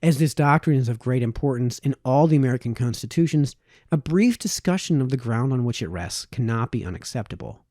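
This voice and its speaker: A man narrates a formal old text with measured, emphatic phrasing. His voice is relaxed and mellow, and his pitch moves up and down in a lively way. The recording is close and dry. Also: no room sound. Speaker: reader, narrator. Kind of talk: read-aloud of a historical political text.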